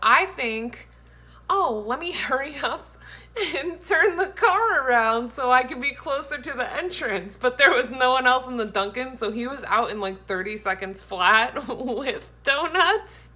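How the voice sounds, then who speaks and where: A woman's voice: high voice, lively intonation, unhurried, pushed and bright. A woman in her thirties chatting to the camera in a casual, playful, giggly way, recorded on a phone in a home kitchen. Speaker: vlogger, host. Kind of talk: casual chat to camera, storytelling. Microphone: phone at arm's length. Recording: faint background noise, home phone recording.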